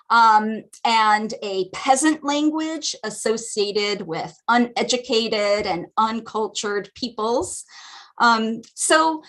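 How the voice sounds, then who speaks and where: A woman's voice: fairly high pitch, a bit bright. A woman with an American accent giving an academic lecture as a guest speaker at a community teach-in, explaining points thoughtfully with frequent ums, over a video call.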